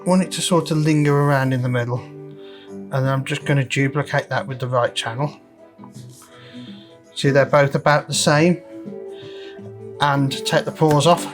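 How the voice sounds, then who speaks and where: A man's voice: mid-range voice, fairly even intonation, measured pace, pauses often, soft background music. A man hosting a repair video, casually explaining each step as he works through a hands-on demonstration. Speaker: host of a vintage audio repair video.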